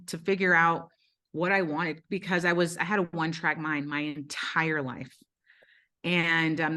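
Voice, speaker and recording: Woman, low voice, Brazilian-born guest, candid and searching, many hesitations. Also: sharp tone. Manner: earnest, with frequent ums and self-corrections. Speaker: podcast guest. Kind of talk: candid personal storytelling.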